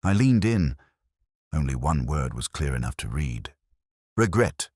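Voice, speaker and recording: A man playing a brooding, observant police inspector, narrating his case in a measured, understated, literary way for an audio drama. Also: very deep voice, sing-song, a little mellow, husky, no room sound, clean studio sound, full character voice. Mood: brooding, wry. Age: middle-aged. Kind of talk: scripted first-person detective narration, audio drama.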